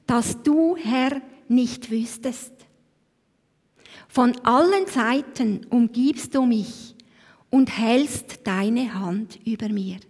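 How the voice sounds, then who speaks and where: A Swiss woman leading a church service, speaking reflectively and reading scripture in a slow voice that sinks to a hush at phrase ends. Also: on the high side. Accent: Swiss German. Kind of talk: devotional talk and scripture reading. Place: church hall. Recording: long hall reverb.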